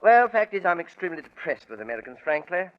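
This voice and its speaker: An actor playing an eccentric, chatty English gentleman with posh, fussily polite old-fashioned talk in 1940s radio style. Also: high-pitched, big pitch swings, quick pace. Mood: fussy, apologetic. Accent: British. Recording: muffled vintage 1940s radio recording.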